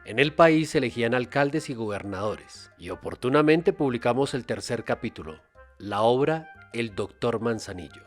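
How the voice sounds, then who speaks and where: A man's voice: medium pitch, expressive, normal speed, soft background music. A man narrating a scripted podcast. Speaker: podcast host.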